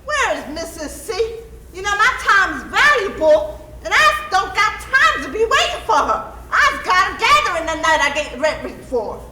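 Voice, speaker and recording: Plantation mistress played by a woman: impatient, commanding, animated delivery; echoey, noisy stage recording. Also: very high voice, moderate pace, heavily acted. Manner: haughty, commanding, folksy Southern phrasing. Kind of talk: stage play acting. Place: stage of a theatre or hall.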